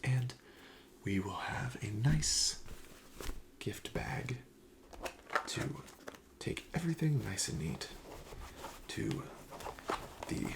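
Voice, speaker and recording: A man speaking softly and breathily, often near a whisper, in a gentle, polite ASMR shopkeeper roleplay. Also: mid-range voice, lively intonation, very slow, gravelly, pauses often. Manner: gentle, attentive, polite salesman patter. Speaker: ASMR roleplayer playing a card shop clerk. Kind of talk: soft-spoken ASMR shop roleplay.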